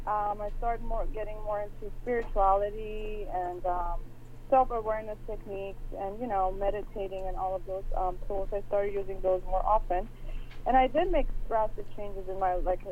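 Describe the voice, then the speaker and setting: A woman in her thirties from Iran, calling in and speaking hesitantly with many pauses and fillers about personal struggles. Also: mid-range voice. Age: 30s. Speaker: caller. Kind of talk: personal call-in conversation. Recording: tinny phone line with faint hiss.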